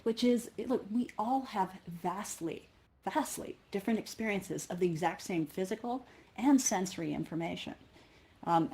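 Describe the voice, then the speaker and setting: A woman's voice: medium pitch, a little mellow. A woman giving a conference keynote with personal anecdotes, thinking aloud and often rephrasing as she goes. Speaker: keynote speaker.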